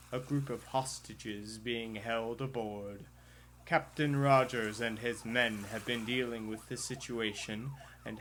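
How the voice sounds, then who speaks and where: A man's voice: mid-range voice, a bit bright, a little hiss or hum, poor audio. A man as a formal radio drama narrator. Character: male scene-setting radio drama narrator. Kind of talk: scripted narration, old-style radio drama.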